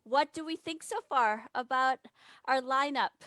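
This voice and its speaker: A woman's voice: high-pitched, measured pace, pushed and bright, a little hiss or hum. A woman hosting a live online open mic, enthusiastic and encouraging. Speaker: host. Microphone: video call.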